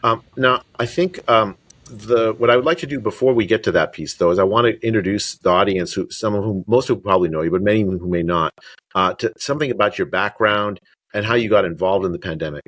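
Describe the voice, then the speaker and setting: A man's voice: on the low side, a bit bright, no echo. A man in his fifties hosting a podcast interview over a video call from a home study, talking with frequent restarts and fillers. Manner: halting, many restarts and fillers. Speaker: podcast host. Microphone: webcam on video call.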